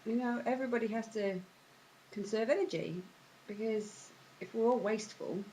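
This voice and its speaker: A woman's voice: fairly high pitch, moderate pace, warm tone, a little hiss or hum, rough recording. A woman giving casual answers as an interviewee.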